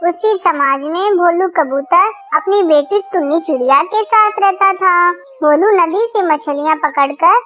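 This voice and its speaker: A woman's voice: extremely high pitch, measured pace, few pauses, a bit bright, slight background noise. A woman narrator reading a scripted children's story.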